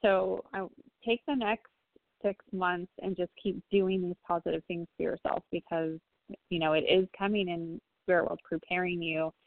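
A woman medium speaks softly and reassuringly, pausing often as she searches for words. Her slightly low voice comes through a narrow, phone-like line. The sound is dry, with no room echo. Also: steady tone, gravelly. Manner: gentle, reassuring, hesitant with many ums. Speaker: psychic medium giving readings. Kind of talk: phone-in psychic reading on a talk show.

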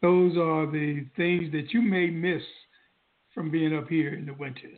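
A man co-hosting a talk show, speaking in a slow, reflective manner with short agreeing replies. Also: fairly high pitch, fairly even intonation. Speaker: podcast co-host. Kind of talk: casual chat. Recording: tinny phone-like line in an echoey room.

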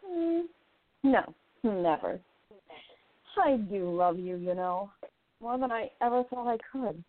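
A woman's voice: medium pitch, lively intonation, takes it slowly. A woman playing an independent young newspaperwoman of the 1920s in a radio play, with an earnest, emphatic delivery. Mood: tender. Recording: tinny phone-like line, roomy echo.

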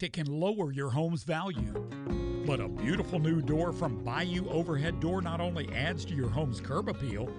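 Smooth persuasive radio ad voice man. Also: on the high side. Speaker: ad voiceover announcer. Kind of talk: scripted radio commercial read. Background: loud music.

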